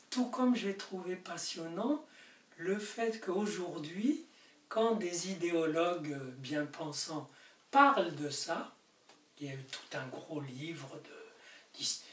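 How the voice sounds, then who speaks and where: An older man from Morocco speaks slowly and deliberately, his voice carrying the room's reverb. He sits back from the camera in a book-lined study, and light hiss runs under the audio. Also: a little high, distant mic. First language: Arabic. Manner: animated, gesturing, rising to emphatic peaks. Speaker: author. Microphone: camera mic from a distance.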